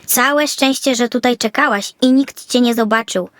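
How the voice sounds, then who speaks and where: A woman's voice: on the high side, moderate pace, a bit bright, no room sound, voice pushed up, close to an everyday voice. A woman voicing a friendly, caring little male elf in a children's audio fairy tale. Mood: relieved.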